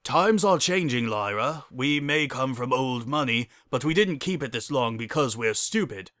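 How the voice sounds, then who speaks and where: A composed, slightly stuffy noble unicorn father of middle age, as a male reader plays him. He speaks formally and matter-of-factly in a bright storybook voice with a dry sound. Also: mid-range voice, animated, no room sound, close to an everyday voice. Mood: firm, explanatory. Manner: formal, measured, matter-of-fact.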